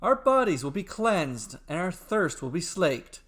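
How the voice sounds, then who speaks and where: A man's voice: high-pitched, big pitch swings, a little slow, fairly bright tone, slightly rough, voice pushed up, exaggerated voice. A man voicing a brave, carefree brother in a storybook tale, hearty, confident and declamatory. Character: brave, carefree, boisterous brother, ever-optimistic sailor. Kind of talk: dramatic storybook character acting.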